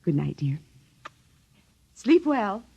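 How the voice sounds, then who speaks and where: A woman in a 1950s radio drama playing a gentle, anxious mother and wife, soothing, hesitant and fretful. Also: medium pitch, sing-song, slow pace, vocal fry, slightly rough, exaggerated voice. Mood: tender. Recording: dull vintage radio recording.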